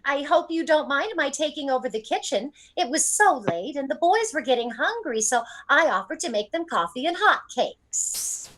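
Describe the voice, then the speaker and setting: A genteel, naive mother acted by a woman in classic radio play style. The voice is very high and animated, chatty and fluttery, full of sweet innocence. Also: moderate pace. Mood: cheerfully innocent. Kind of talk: old-time radio drama acting.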